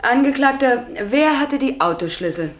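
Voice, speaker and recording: An actress playing a sharp, formal public prosecutor in a radio crime drama, questioning pointedly in a roomy, echoing space. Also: female voice, sits high, lively intonation, moderate pace. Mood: pointed, sceptical.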